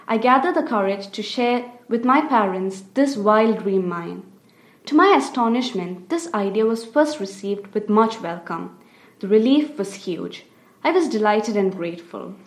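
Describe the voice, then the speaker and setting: Young Indian woman, student essay reader, earnest, echoey room. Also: mid-range voice. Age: young adult. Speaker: undergraduate student. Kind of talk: reading a personal essay aloud. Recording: roomy echo.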